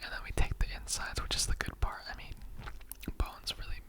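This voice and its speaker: A performer acts out an immortal skeleton interrogator in an ASMR roleplay. The voice stays in a whisper throughout. The delivery is earnest and conversational, rambling through halting asides and repetitions. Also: close to an everyday voice. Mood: rambling, matter-of-fact. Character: chatty immortal skeleton interrogator, earnestly recruiting bone donors.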